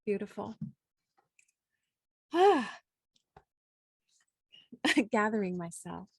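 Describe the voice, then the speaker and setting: A woman hosting a poetry reading, giving informal, effusive and reflective introductions with thoughtful pauses. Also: mid-range voice, sing-song, gravelly, husky, dry recording. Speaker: host and poet. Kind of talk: warm, informal event introduction.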